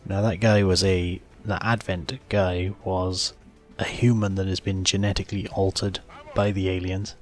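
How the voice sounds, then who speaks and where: Male gaming host, low voice, plain step-by-step commentary, light game music behind. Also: level tone, moderate pace, darkish tone. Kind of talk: explanatory gameplay commentary. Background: game soundtrack.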